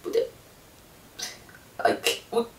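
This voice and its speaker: A young woman from Ghana with a Ghanaian accent, talking to camera in a casual, exasperated and sarcastic vlog. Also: drawn-out words. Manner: exasperated, sarcastic, mimics others in high voices. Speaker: vlogger. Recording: echoey room, mic at a distance.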